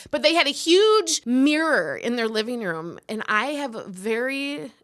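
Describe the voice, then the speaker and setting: A woman in her forties from Wisconsin, telling stories as an interview guest in a goofy, lively way with frequent laughter. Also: sits high, measured pace. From Milwaukee, Wisconsin. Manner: goofy, lively storyteller who laughs often. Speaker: podcast guest, improv performer. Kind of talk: casual interview chat.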